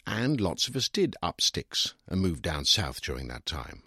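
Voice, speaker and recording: Smug English lawyer, lively and opinionated, acted by a man. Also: low-pitched, measured pace, slightly rough, no echo, clean studio sound, heavily acted. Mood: opinionated, dismissive. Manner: opinionated, condescending, emphatic. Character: smug, argumentative middle-aged divorced male British lawyer, self-justifying. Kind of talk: dramatised first-person short story reading.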